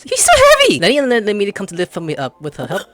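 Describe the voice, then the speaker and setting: A woman giving excitable, theatrical gameplay commentary, swinging between muttering and shrieking. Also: medium pitch, very fast, no room sound. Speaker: gaming video host. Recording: game music clearly behind the voice.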